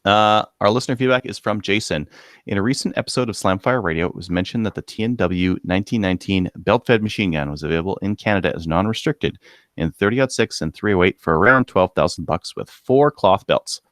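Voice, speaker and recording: A man with a low voice hosts a podcast casually. His manner is offhand and dryly humorous, and the recording is tight and free of echo. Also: a little fast, clean studio sound. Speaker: podcast host. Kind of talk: casual banter and read-out segments.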